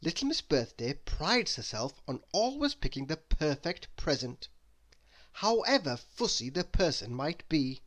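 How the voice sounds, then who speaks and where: A children's storybook narrator with a mid-range voice. The delivery is animated and expressive, with emphasis placed for young listeners. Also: medium pitch, measured pace. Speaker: storybook reader. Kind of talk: children's read-aloud narration.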